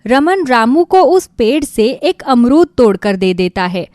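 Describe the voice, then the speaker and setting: Lively, high-voiced woman storyteller. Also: unhurried, dry recording, clean studio sound. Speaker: narrator. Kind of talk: scripted children's moral story narration.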